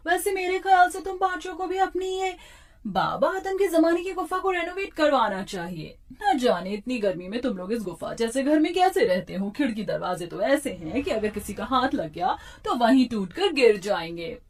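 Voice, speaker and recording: A young village wife in a cartoon tale, voiced by a woman. Her voice is high and a little off the mic, with a pointed, complaining edge. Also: sing-song, moderate pace, recorded from a distance. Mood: smug, belittling.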